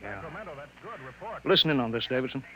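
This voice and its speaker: Forceful American commander, played by a man, vintage radio. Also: mid-range voice, moderate pace, close to an everyday voice. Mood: grim, resolute. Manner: clipped, authoritative, order-giving. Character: commanding, urgent male road-system chief engineer. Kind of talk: 1950s radio drama acting. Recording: hissy vintage 1950s radio broadcast.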